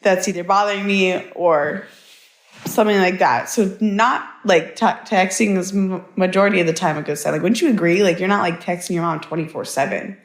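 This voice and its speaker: A woman's voice: on the low side, brisk. A young woman chatting casually as a podcast guest, scattering "like" all through her talk. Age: young adult. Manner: frequent "like" as filler. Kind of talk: casual chat. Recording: echoey, roomy pickup.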